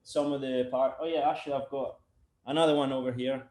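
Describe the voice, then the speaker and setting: A man's voice: mid-range voice, fairly even intonation, fairly quick, a little mellow. A man talking casually on a video call, rambling with many fillers and restarts. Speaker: sound engineer and product developer, guest. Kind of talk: casual interview chat. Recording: roomy echo.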